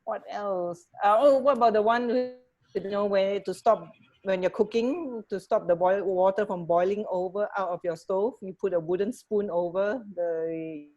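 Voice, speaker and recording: A middle-aged woman co-hosting a casual chat on a video call, speaking in a halting, informal way. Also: medium pitch, darkish tone, dull audio. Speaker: radio co-host. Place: indoor room at home, on a video call. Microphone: earphone headset on video call.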